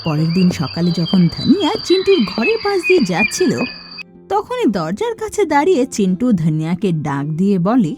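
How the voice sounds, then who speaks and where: A woman's voice: mid-range voice, huge pitch swings, a little fast, over music. A woman giving scripted narration for a cartoon story.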